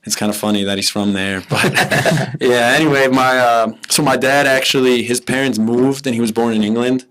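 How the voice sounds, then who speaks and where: A man in his twenties from Milwaukee, a guest on a podcast, telling his story in a relaxed, easygoing way. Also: medium pitch, moderate pace, hardly any pauses, poor audio. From Milwaukee, USA. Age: 20s. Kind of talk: casual interview chat.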